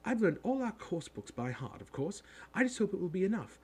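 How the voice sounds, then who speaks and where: A man performs a bossy young schoolgirl in a storybook reading. The voice is pitched high and swoops about eagerly. She rattles on like a confident know-it-all. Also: huge pitch swings, a little fast, dark tone, husky, full character voice.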